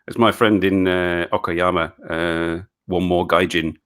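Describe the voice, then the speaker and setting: A middle-aged Englishman hosting a casual live stream, answering viewer questions in a halting way with many restarts and frequent chuckles. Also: low voice, fairly even intonation, a bit bright, clean tone. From England.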